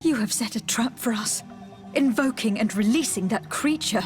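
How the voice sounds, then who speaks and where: High-voiced inquisitive adventurer acted by a woman. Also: darkish tone, natural voice. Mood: concerned. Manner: questioning, measured. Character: composed, inquisitive female adventurer and envoy. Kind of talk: video game voice acting. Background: game music under the voice.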